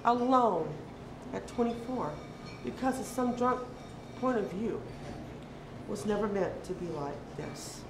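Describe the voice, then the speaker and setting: A woman giving a dramatic reading of a literary piece, deliberate, with pauses between short phrases. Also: medium pitch. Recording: echoey room with background noise.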